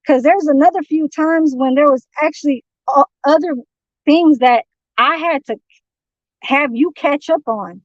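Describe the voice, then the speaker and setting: Lady going off on a livestream, cussing a lot, kinda high voice, muffled mic. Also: dry recording. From San Francisco, United States. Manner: profane, confrontational, rambling. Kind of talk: heated livestream rant. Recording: dull lo-fi dry stream audio.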